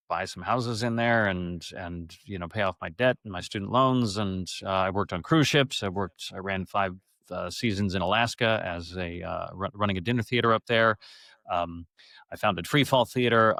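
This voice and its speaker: Middle aged guy chatting as a guest, low voice, rambly and effusive, really dry close sound. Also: a bit bright, no echo. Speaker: interview guest, stage actor. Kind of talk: casual conversational storytelling.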